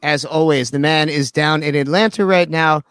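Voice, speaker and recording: A man's voice: a little high, normal speed, a bit bright, smooth, no echo, clean studio sound. A man hosting a live radio interview, joking and teasing his guest. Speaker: radio show host.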